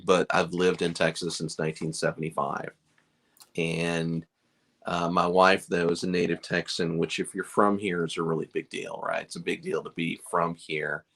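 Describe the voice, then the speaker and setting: A middle-aged man from Chicago talks casually as a guest on a livestream. His voice sits very low and has a gravelly fry running through it. He rambles, pokes fun at himself and pads his sentences with fillers. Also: fairly even intonation, moderate pace, a bit bright. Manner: rambling and self-deprecating, with many fillers. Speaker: beard company owner, guest.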